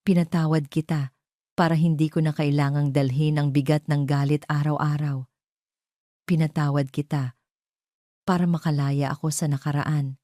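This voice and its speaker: Narrator as composed female lead, measured and sure of herself, deep register, dry story recording. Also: dry recording, natural voice. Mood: calm, firm. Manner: calm, measured, firm. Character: composed, self-possessed woman forgiving her former love.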